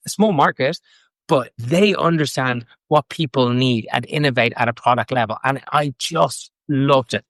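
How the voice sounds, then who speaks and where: Quick, eager Irish man on a dry mic. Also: medium pitch, a bit bright, dry recording. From Ireland. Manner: enthusiastic, fast, repeats words and restarts. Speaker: guest, fintech co-founder. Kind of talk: casual interview talk. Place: London.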